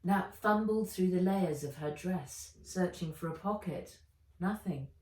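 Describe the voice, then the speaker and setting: A woman narrating a children's adventure book aloud in an expressive, dramatic and deliberate way. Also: lowish voice. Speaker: author reading own book aloud. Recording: echoey room, distant microphone.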